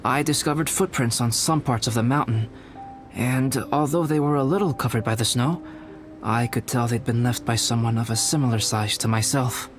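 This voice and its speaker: Man voicing a composed young alchemist, measured and polite, game music behind. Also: mid-range voice, close to an everyday voice. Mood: calm. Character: calm young male alchemist, composed, analytical.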